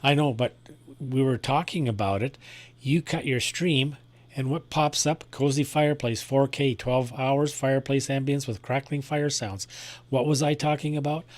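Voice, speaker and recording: A man's voice: medium pitch, steady tone, moderate pace. A man talking casually in a group conversation on amateur radio. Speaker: amateur radio net participant.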